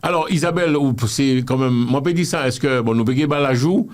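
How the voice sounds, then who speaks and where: A man's voice: mid-range voice, moderate pace. Chatty man interviewing. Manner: playful, chatty, teasing, repeats himself. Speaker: interviewer host. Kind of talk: casual interview chat.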